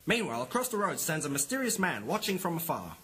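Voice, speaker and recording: An actor playing a bombastic, melodramatic narrator of a 1950s-style radio serial. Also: male voice, on the high side, very animated, quick pace, bright, forceful tone, slight background noise. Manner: melodramatic, showy.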